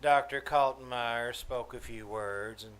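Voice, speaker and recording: An actor playing a mild, folksy older druggist in 1940s-style radio comedy, hesitant and deadpan. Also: male voice, mid-range voice, natural voice. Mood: meekly solemn. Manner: halting, deadpan, mild-mannered. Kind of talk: 1940s radio comedy acting before a live audience. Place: small-town theater stage. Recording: noisy live stage recording.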